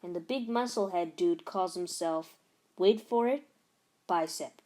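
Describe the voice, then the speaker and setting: A woman's voice: on the low side, a little slow, dark tone, natural voice. A woman voicing a frank, unimpressed schoolgirl in a storybook, with a dry, sarcastic delivery. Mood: sardonic.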